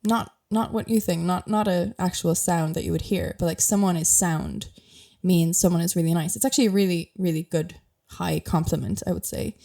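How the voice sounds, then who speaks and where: Young irish woman, mild accent, bit sick and coughy, mellow lowish voice, chatty podcast host. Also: fairly even intonation, normal speed, gravelly. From Ireland. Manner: chatty, laughs often, rambling with fillers. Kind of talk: casual explanatory chat.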